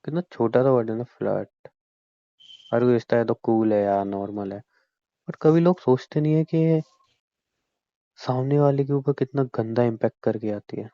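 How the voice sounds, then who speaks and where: A man's voice: mid-range voice, normal speed, long pauses, no echo, natural voice. A man playing a hurt, reproachful young man who broods over his doubts in an intimate phone-call drama. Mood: bitter. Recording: dry, dull lo-fi call recording.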